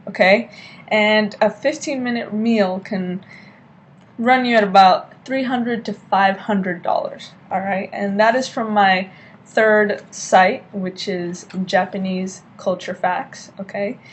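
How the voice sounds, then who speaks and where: A young woman talks straight into her laptop webcam from a lamp-lit hotel room, presenting a class speech in a loose, chatty way. Her pitch sits in the middle of the usual range, and she keeps tossing in "okay?" to the listener. Faint room noise hums under the recording. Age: young adult. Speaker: student giving a class speech. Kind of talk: informal informative speech. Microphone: laptop webcam at desk.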